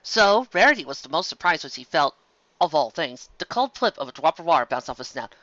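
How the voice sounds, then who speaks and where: A man reading a story aloud as an expressive amateur narrator, recorded in dull audio. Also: fairly high pitch, brisk, no echo.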